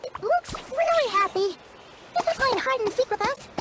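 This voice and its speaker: A woman voicing a tiny, excitable floating fairy girl companion in a video game, with a squeaky childlike voice and exclamatory delivery. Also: normal speed, very noisy. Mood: excited. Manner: exclamatory, refers to self in third person. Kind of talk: animated video game dialogue.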